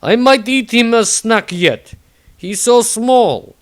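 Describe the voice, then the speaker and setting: A man voicing a gruff, boisterous, hard-drinking foreign fighter in a fantasy storybook, blunt and with broken phrasing. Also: extremely high pitch, animated, takes it slowly, voice pushed up, heavily acted. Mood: boisterous, teasing.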